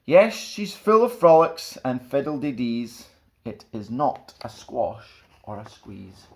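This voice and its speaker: A young man hosting a children's storytime, reading a picture book aloud in an expressive way. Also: medium pitch, slow pace. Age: young adult. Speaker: storyteller, host.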